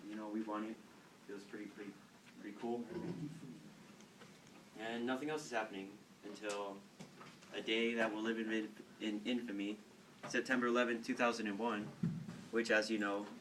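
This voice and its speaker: A teenage boy with a fairly low voice rambles through an informal story, repeating words and leaning on fillers. He is recorded from some distance, with faint background noise. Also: little pitch movement, normal speed, long pauses. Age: teens. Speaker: student storyteller. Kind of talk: casual informal talk to classmates.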